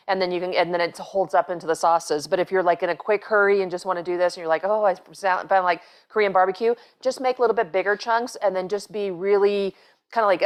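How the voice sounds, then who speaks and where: A middle-aged woman runs a home cooking demonstration, chatting casually as she works. She rambles and restarts, filling gaps with "so" and "um". The voice sits at a middle pitch, recorded on a lapel mic in a home kitchen. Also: clean tone. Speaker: cooking show host, chef.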